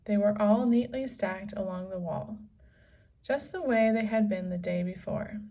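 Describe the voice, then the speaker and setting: A woman reading a children's story aloud as narrator, with measured, deliberate phrasing. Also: medium pitch, mellow. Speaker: storybook reader. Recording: slightly distant from the microphone.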